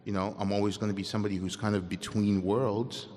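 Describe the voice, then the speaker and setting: A young man with a Russian accent speaks in a fairly low voice, taking questions in an unscripted, candid way. He often stops mid-thought and restarts. A long reverb rings around him in the big hall. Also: level tone, fast talker, a little mellow. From Ukraine. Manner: candid, self-interrupting, conversational. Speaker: guest speaker. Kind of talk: Q and A at a convocation. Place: large hall.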